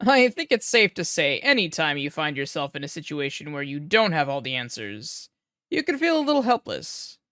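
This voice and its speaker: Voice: medium pitch, big pitch swings, normal speed, pushed and bright, no room sound, clean studio sound. A performer playing a mysterious late-night paranormal radio show host, speaking in a theatrical, suspenseful way. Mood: musing, mysterious.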